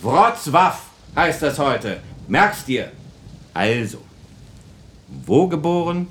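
An actor playing a strict, domineering schoolteacher in a radio drama, barking, sarcastic and pedantic. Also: male voice, a little high, big pitch swings, slow, fairly bright tone, slight background noise, full character voice. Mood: stern, commanding. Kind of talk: German radio drama acting.

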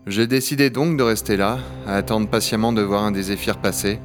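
A man's voice: on the low side, fairly quick, music in the background, natural voice. A man playing a sceptical dragon hunter who also narrates in a science-fiction audio drama, blunt, sardonic and colloquial. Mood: weary, reflective. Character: Owen, gruff, sceptical male dragon hunter. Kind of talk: French audio drama acting and first-person narration.

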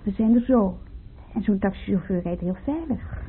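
Radio drama role: reassuring, practical carer, gravelly creaking voice, thin phone-like audio. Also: female voice, mid-range voice, lively intonation, moderate pace. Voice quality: husky, creaky. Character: caring, practical woman reassuring a fragile companion. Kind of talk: radio play acting. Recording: very narrow, tinny radio play.

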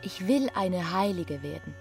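An actress playing a melancholy, fervent young wife in a radio drama, with a solemn, yearning manner. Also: female voice, on the low side, expressive, measured pace, vocal fry, darkish tone, soft background music.

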